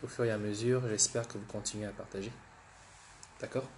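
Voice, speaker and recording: A man's voice: on the low side, fairly even intonation, fairly quick, darkish tone, a little hiss or hum. A man who has the flu, hosting a political livestream, repeating himself, restarting words and urging viewers to share. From Gabon. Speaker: livestream host.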